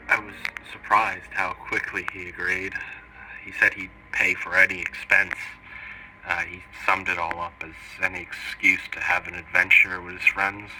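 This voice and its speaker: A man playing an earnest witness, slowly and haltingly recounting his story in an interview for a mock documentary. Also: sits low, bright, forceful tone, exaggerated voice, low-quality recording. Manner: halting, deliberate, pausing mid-sentence.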